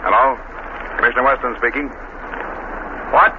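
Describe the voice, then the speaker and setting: An actor playing a gruff, brusque and impatient male police commissioner in a 1940s radio drama. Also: medium pitch, normal speed, lightly acted. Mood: brusque impatience. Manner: brusque, commanding. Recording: tinny, noisy old radio recording.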